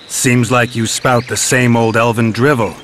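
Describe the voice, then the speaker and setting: An actor playing a cynical, world-weary warrior who hunts monsters in a video game, speaking in a dry, blunt, restrained way. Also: male voice, mid-range voice, flat delivery, moderate pace, background noise, close to an everyday voice. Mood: scornful.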